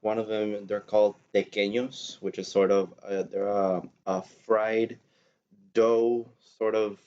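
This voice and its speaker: Deep-voiced young man, rambling chat. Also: little pitch movement, slow pace, a little mellow. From Venezuela. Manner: rambling, many fillers and restarts. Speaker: podcast guest. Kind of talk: casual chat. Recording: dull lo-fi recording.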